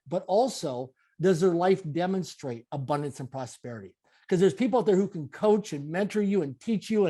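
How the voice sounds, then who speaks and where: A man's voice: high voice, dark tone. A man in his late forties from Canada, a guest giving an interview, talking in emphatic, repetitive motivational phrases. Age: 40s. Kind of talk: conversational interview.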